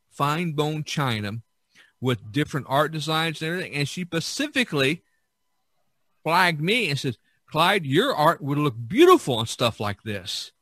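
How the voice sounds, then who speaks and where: A man's voice: on the high side, pushed and bright, no echo. A man chatting casually as a podcast host, reminiscing in a rambling way that repeats and circles back.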